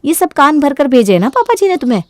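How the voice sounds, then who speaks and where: Woman playing a sharp-tongued, high-voiced young wife. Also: sing-song, a little fast, no echo, clean studio sound. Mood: irritated, defiant. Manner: sharp-tongued, demanding, argumentative. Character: self-centred, smooth-talking young married woman, bossy and defiant.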